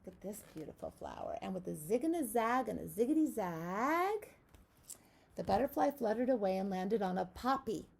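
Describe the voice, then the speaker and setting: Lady teacher doing storytime, super lively, bit of fry, asks the kids stuff. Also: mid-range voice, normal speed, slight background noise. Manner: encouraging, addresses children with questions. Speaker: teacher reading aloud to young children. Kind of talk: expressive picture-book read-aloud with asides.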